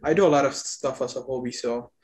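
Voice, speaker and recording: Even-toned young man. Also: mid-range voice, quick pace. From Ethiopia. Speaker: podcast guest. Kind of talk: casual podcast conversation.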